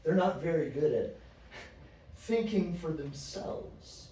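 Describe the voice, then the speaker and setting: An actor of unclear sex playing a stern, dismissive alien time traveler in a science fiction audio drama, curt and deliberate. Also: medium pitch, expressive, mellow, distant mic. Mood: curt, scornful. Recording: very echoey, off-mic, faint noise.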